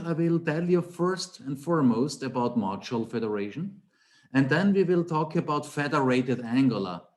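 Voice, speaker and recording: A man's voice: medium pitch, darkish tone, a little hiss or hum. A man with an Austrian German accent gives a remote conference talk over a webcam call in his small home office. His voice sits in the middle range. He explains patiently, asks himself rhetorical questions and repeats key points for emphasis. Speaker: conference speaker, Angular trainer. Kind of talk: technical talk with slides.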